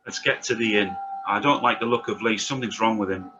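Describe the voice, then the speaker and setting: A man's voice: on the low side, quick pace, close to an everyday voice. A man at a table read playing a wary, blunt, wry companion who talks in British slang. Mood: uneasy. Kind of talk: table read of a TV pilot script. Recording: dull, lo-fi, faint background noise.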